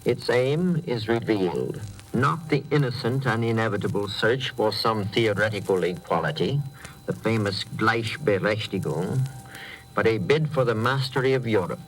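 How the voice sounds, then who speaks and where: Low-voiced 1930s correspondent over hissy shortwave. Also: male voice, poor audio. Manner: grave, oratorical. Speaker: foreign correspondent. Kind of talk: formal 1930s radio commentary.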